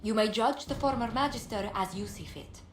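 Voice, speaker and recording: An actress playing a poised diplomatic advisor in a video game, speaking in a formal, measured, articulate way. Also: female voice, a little high, natural voice. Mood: formal, composed. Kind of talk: video game character acting. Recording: roomy echo, faint noise.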